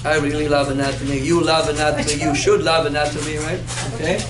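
A man in his fifties teaching anatomy in a classroom, playful and animated, quizzing his students as he goes. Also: on the high side, fast talker. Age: 50s. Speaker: anatomy instructor. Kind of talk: classroom lecture with call-and-response. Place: classroom in front of a whiteboard. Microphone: far camera. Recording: echoey classroom with background noise.

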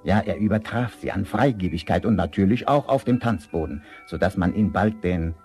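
A man narrating a vintage fairy-tale radio play as an expressive, measured storyteller. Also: low-pitched, faint music behind. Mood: storytelling.

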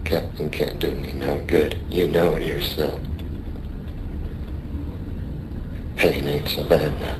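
An actor playing a weary, stoic, dying merchant sailor, rough-spoken, weak and in pain, in a radio drama. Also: male voice, extremely low pitch, flat delivery, moderate pace, background noise. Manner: rough uneducated sailor speech.

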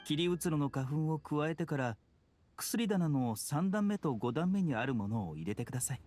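An actor playing a calm, courteous young male doctor in a video game, speaking politely and in a measured, formal way. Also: on the high side, dry recording. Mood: gentle, instructing. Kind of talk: Japanese video game voice acting. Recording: studio voice over game music. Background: background music.

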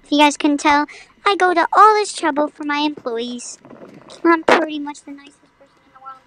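Girl streaming a game, really high voice, chatty and kinda mock dramatic. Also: lively intonation, fairly quick, a bit bright, a little hiss or hum, poor audio. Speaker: gaming streamer. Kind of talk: casual livestream gameplay commentary.

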